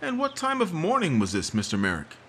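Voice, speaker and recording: Man acting small-town sheriff, husky, high and bright, sing-song, drawling, 1950s radio drama. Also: huge pitch swings, brisk, slight background noise, exaggerated voice. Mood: probing. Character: folksy, patient small-town male sheriff.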